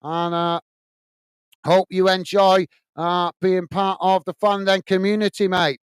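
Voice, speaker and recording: A man's voice: high-pitched, level tone, a little slow, clear, resonant tone, no echo. Lancashire man calling a football match live. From Bolton, north of England. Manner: chatty, calls viewers mate, frequent uh fillers. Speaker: football watch-along host. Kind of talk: live match commentary and chat reading. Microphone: earphones and desk microphone.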